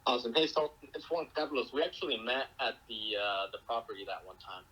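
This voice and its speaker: A man speaking politely and in a measured way, negotiating diplomatically over the phone. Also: mid-range voice, sharp tone, breathy edge, rough recording. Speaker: business partner on a phone call.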